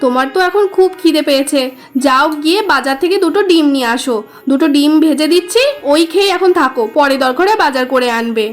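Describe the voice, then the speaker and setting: A woman's voice: sits high, expressive, fast. A woman playing an exasperated, scolding village wife in a comic cartoon, curt and nagging.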